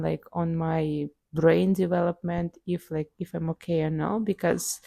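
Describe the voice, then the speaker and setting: Slow-talking Russian woman with a gravelly voice. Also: fairly low pitch, warm tone, no echo. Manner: conversational, many fillers like "like" and "um". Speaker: vlogger, psychologist. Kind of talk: casual personal video diary.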